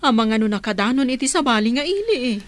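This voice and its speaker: A woman's voice: a little high, lively intonation, fast, no echo, natural voice. A woman playing a worried, anxious daughter in an audio drama. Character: worried daughter searching for her missing mother.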